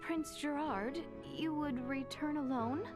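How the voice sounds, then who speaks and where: A young crown princess, reserved and careful. Her somewhat high voice moves very slowly, with hesitant, formal politeness, as in a fantasy game drama. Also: music in the background. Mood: concerned. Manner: halting, formal, careful phrasing. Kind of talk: video game cutscene acting.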